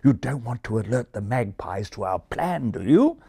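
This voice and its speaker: A man's voice: medium pitch, sing-song, moderate pace, gravelly, dark tone, husky, dry recording, studio quality, heavily acted. A man voicing a proud English pigeon in a storybook, with a refined English accent and a proper, condescending manner. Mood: proud, indignant.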